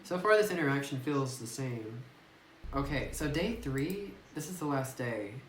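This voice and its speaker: A let's-play commentator talking casually and thinking aloud about a visual novel, filling every pause with "like", recorded on a phone. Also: mid-range voice, animated, slight background noise. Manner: rambling, thinking aloud, heavy use of "like". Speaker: gameplay commentator. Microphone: phone microphone. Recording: roomy echoey phone recording.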